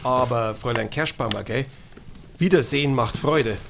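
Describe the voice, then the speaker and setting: Actor as fussy Munich caretaker, radio drama. Creaky mid-pitched voice, Bavarian accent, kindly admonishing. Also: male voice, normal speed, noisy background, lightly acted. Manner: colloquial, fussily admonishing. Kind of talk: radio play dialogue.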